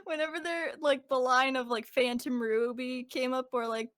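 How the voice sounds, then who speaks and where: A woman's voice: sits high, steady tone, moderate pace, a bit bright, no echo, studio quality. A woman who does amateur voice acting, telling rambling, giggly anecdotes in a casual group chat. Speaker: amateur voice actor in a comic dub.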